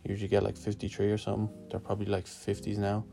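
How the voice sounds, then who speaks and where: A young man from Lithuania answers questions in a relaxed, slangy way and swears now and then. His voice sits low with a gravelly edge and barely rises or falls. Faint music plays behind him. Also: very fast. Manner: laid-back, swearing, slangy. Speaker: guest skater answering a questionnaire. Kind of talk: casual spoken answers.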